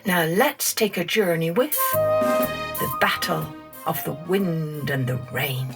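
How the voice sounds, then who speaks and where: A woman storyteller reading a scripted children's tale in a deliberate, expressive manner. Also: deep voice, loud music behind the voice. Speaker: storyteller, podcast host.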